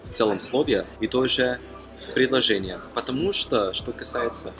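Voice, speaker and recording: A young man from Germany talking casually to camera as a non-native speaker, halting with frequent fillers and self-corrections. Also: mid-range voice. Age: young adult. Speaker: language-learning vlogger. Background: background music.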